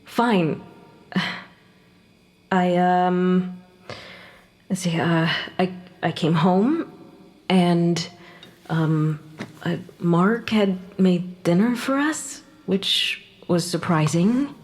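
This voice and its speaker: An actress playing a dead mother in an audio drama, bitter and anguished, speaking haltingly and stammering through pauses. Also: lowish voice, a little mellow. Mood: hesitant, remembering.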